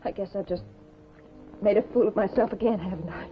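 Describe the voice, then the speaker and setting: A woman's voice: medium pitch, a little fast, close to an everyday voice. An actress playing an earnest, anxious, bookish young woman in a 1950s television drama. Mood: hurt, subdued. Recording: dull 1950s TV soundtrack, music behind.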